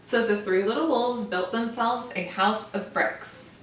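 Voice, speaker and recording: A woman's voice: on the high side, fairly even intonation, measured pace, fairly bright tone, slight background noise. A woman teacher reading a picture book aloud to young children, stopping to explain words. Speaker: first grade teacher. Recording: echoey room, mic far off.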